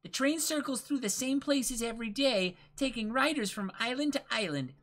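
A man's voice: extremely high pitch, expressive, normal speed, a bit bright, pitched above the performer's own voice. A man voicing a cheerful, chatty little girl who explains things in a manga reading. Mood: cheerful, proud.

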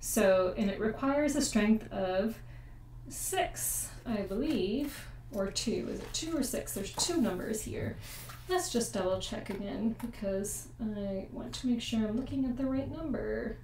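A young woman hosting a casual board game playthrough at home, chatty and explanatory, often pausing to check the rules. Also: on the high side, expressive, a little mellow, a little hiss or hum. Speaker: board game channel host. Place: home room at a table. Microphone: desk USB mic with room echo. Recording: echoey room, mic set far off.

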